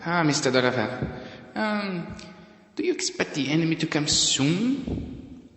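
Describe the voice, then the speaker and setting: A man playing a fussy, excitable elderly time-traveller who stammers and repeats himself in a fan audio drama. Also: a little high, a little slow, pitched above the performer's own voice, heavily acted. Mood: polite, curious. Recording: echoey room with long reverb.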